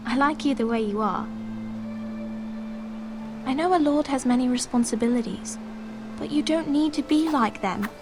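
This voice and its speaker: High-voiced, earnest young noble girl. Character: caring young noble girl, protective older sister. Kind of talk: dramatic fantasy game acting.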